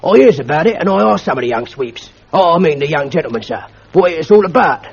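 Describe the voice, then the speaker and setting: Old male school porter, deferential but stubborn, rough dialect; played by a man. Raspy, fairly high. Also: animated, fast, full character voice. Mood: aggrieved. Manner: ungrammatical dialect, deferential, keeps saying "sir". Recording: lo-fi old archive recording.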